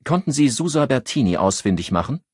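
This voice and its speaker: A tense, ambitious policewoman played by a male narrator in an audiobook. The voice swings widely in pitch and has a husky edge. She is bossy yet insecure, speaking in brisk, clipped phrases. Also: sing-song, darkish tone, no room sound, clean studio sound. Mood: brisk, commanding. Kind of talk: audiobook character dialogue.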